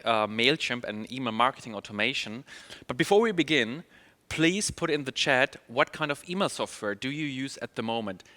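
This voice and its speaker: German-accented male trainer, upbeat presenter, bright voice, reverberant hall sound. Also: medium pitch. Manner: upbeat, many fillers and restarts. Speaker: email marketing trainer. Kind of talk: online conference presentation.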